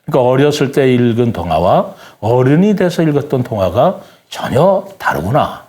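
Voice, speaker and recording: Earnest man with lively, swooping intonation. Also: mid-range voice, normal speed. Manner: earnest, explanatory, polite formal speech. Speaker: guest writer of children's stories. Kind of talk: reflective talk-show conversation with storytelling.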